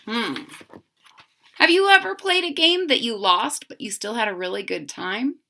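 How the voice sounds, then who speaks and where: Animated, bright-voiced woman reading to children. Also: on the high side, normal speed, vocal fry. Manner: animated, sing-song emphasis, addresses children directly. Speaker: teacher reading a picture book aloud to children. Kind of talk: expressive children's read-aloud with questions to listeners.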